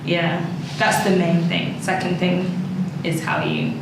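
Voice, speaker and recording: A woman, talking casually and thoughtfully about her own experience in a group discussion, pausing often. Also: on the low side, little pitch movement. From England. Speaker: discussion participant. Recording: very echoey, noisy room.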